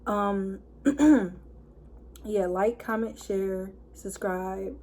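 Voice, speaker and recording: A hoarse woman of twenty-one, chatting casually to camera and packing her talk with fillers. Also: medium pitch, very slow, warm tone. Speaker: vlogger. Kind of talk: casual story time chat.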